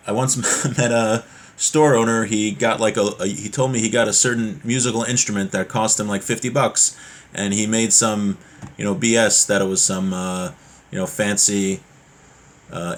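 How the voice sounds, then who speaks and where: A man's voice: lowish voice, steady tone, moderate pace. A man teaching a religious class informally, reading from a text and explaining it conversationally with everyday examples.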